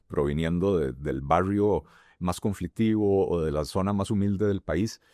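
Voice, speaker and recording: A middle-aged man with a Costa Rican accent, answering interview questions as a candidate in a halting way with many fillers and restarts. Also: low-pitched, a little mellow, dry recording, studio quality. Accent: Costa Rican Spanish. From Costa Rica. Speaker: presidential candidate.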